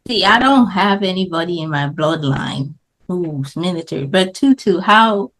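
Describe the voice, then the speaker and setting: A woman's voice: on the low side, expressive, normal speed, a bit bright. A woman co-hosting a podcast who asks questions and steers the casual talk. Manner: questioning, leads the discussion. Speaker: podcast co-host. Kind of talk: casual chat.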